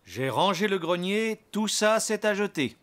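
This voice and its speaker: A man voicing an encouraging, patient father donkey in a preschool cartoon, animated and instructive. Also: sits high, unhurried, slight background noise. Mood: matter-of-fact. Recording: music under the voice.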